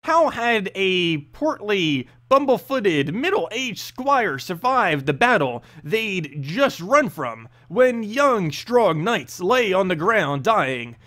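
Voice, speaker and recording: A man narrating a fantasy story in an expressive, dramatic storyteller's manner. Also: high voice, slow, few pauses. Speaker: narrator reading game lore.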